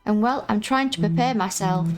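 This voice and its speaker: A woman reading the lines of a prim, polite young girl in a video game, with a croaky voice and formal phrasing. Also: medium pitch, normal speed, faint music behind, close to an everyday voice.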